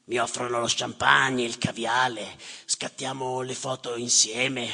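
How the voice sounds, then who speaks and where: An actor playing a cynical, self-important general in a stage monologue, very raspy, sardonic and emphatic. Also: male voice, medium pitch, flat delivery, a little slow, bright, forceful tone, full character voice. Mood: sardonic, scornful. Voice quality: very raspy, gravelly. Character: cynical, self-important, foul-mouthed male general running migrant crossings. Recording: reverberant hall with live music.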